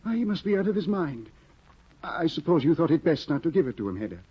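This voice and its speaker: An actor playing a fussy, doting scholarly husband, flustered and excitable, saying "eh?" a lot, in 1950s radio drama style. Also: male voice, fairly high pitch, fast, breathy edge. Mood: anxious. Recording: dull lo-fi 1950 radio broadcast.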